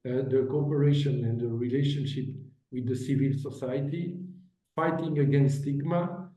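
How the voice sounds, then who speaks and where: A man delivers formal remarks at a virtual conference, pausing often and restarting his phrases. His voice is very dark and mellow. It comes through dull and roomy, as if picked up from a distance. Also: medium pitch, fairly even intonation. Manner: halting, many fillers and restarts. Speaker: agency director giving a presentation.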